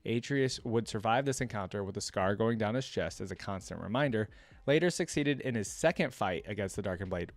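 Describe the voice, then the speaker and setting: A man's voice: medium pitch, no room sound. Casual man hosting a reaction video, dry sound. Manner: informal, thinking out loud. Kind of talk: casual commentary, reading wiki text aloud with asides.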